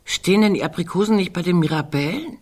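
A woman's voice: fairly low pitch, fast talker, darkish tone, husky. An actress playing a wry, musing, fussy older woman in a literary radio drama, digressing as she talks. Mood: musing, fretful.

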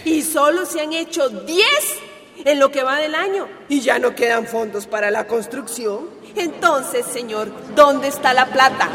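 Lively, folksy Costa Rican woman storyteller. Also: high voice, a little slow, a little hiss or hum. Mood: indignant, firm. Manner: chatty, folksy, emphatic. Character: warm, plucky older community woman telling her life story. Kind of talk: radio drama storytelling.

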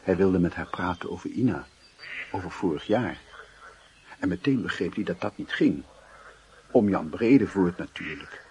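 A man's voice: low-pitched, animated, normal speed, long pauses, a little mellow, slight background noise. A man narrating a literary radio drama in a solemn, introspective, dramatic manner. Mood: brooding. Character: solemn storyteller of a lovesick youth's inner life.

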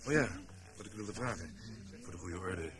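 A man's voice: sits low, moderate pace, a crowd in the background, lightly acted. An actor in a radio play playing an authoritative policeman, courteous and official. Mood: polite, official. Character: authoritative, polite policeman at accident scene.

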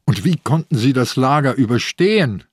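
An actor playing a sick old police inspector in a radio drama, distraught yet stubbornly idealistic, with a weakened, husky voice. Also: male voice, mid-range voice, animated, moderate pace, no room sound, studio quality. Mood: aghast. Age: older.

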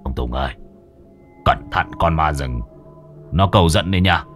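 A man voicing a nervous male companion who speaks in a low, hushed murmur. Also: normal speed, soft background music.

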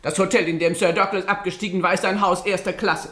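A woman's voice: fairly low pitch, fairly even intonation, fast, a bit bright, natural voice. An actress as a prim female witness, roomy echo. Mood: prim, self-satisfied. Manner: formal, precise, indignant. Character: prim, self-righteous, offended lady witness, formal and precise. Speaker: actress in a radio drama. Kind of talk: German radio drama acting, witness testimony.

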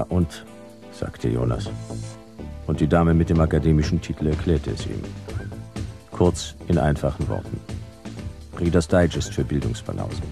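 A hard-boiled male narrator for a radio detective play. His voice is extremely deep and creaky, with terse, dry delivery. Music plays clearly behind him. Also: full character voice. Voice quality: gravelly.

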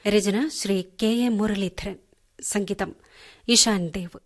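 Slow formal woman announcer. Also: medium pitch, fairly even intonation, noisy background. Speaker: radio announcer. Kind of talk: reading broadcast credits.

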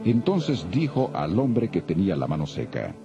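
A man's voice: sits low, huge pitch swings, normal speed. A man narrating the Bible in a solemn, dramatic, scripted storytelling style. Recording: dull lo-fi, music behind.

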